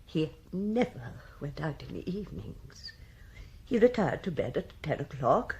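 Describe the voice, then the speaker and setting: A woman's voice: deep voice, a little mellow, rough recording. An actress playing a guarded, proper woman in a radio drama, answering in a formal, emphatic, clipped manner.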